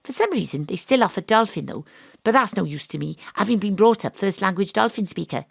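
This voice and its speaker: Deadpan hard-boiled female detective. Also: medium pitch, a little fast, vocal fry, breathy edge, dry recording. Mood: wry, brooding. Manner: deadpan, dry. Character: female private detective, world-weary, wry, hard-boiled ex-rugby star. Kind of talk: noir detective narration in audio drama.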